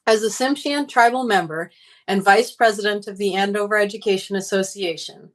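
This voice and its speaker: A woman's voice: medium pitch, brisk, poor audio. Woman giving an earnest prepared speech. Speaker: union candidate, teachers' organizer.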